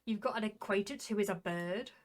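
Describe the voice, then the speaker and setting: A woman's voice: mid-range voice, a little fast, close to an everyday voice. A woman voicing a sceptical, blunt male archer in a visual novel, dry and mocking. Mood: sardonic.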